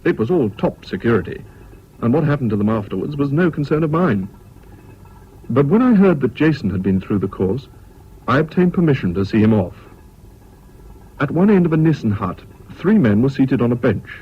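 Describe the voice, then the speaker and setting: An actor narrating as a former British army officer recalling the war, measured, composed and dryly wry. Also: male voice, medium pitch, big pitch swings, breathy edge. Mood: reflective. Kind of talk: scripted first-person narration in radio drama. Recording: muffled old radio recording, faint noise.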